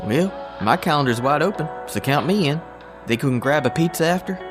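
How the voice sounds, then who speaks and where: A man's voice: fairly high pitch, expressive, fairly quick, over music, close to an everyday voice. A man playing a loyal, good-natured and goofy agent with a folksy, joking manner in an audio drama. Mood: joking, easygoing. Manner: folksy, warm-hearted, joking.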